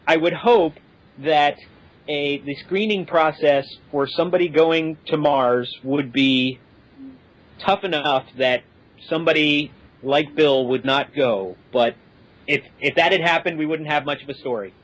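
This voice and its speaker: A man's voice: fairly high pitch, unhurried, low-quality recording. A man on a discussion panel, speaking casually in a hesitant, considered way. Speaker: podcast guest.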